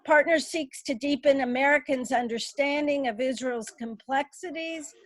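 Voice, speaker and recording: A woman co-moderating a webinar, reading a formal introduction in a measured tone and then giving the audience instructions. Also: high voice, fairly bright tone. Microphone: webinar call.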